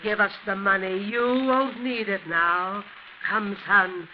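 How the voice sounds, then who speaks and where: An actress as slow, menacing old ghost woman. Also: mid-range voice, fairly even intonation, lightly acted. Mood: vengeful, relentless. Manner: slow, solemn, ominous delivery. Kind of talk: 1940s radio horror acting. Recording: muffled, noisy 1940s radio recording.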